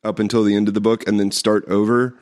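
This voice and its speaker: A hoarse man co-hosting a podcast, joking wryly in casual chat with stammered restarts. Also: lowish voice, little pitch movement, fast talker, a little mellow. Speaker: podcast co-host.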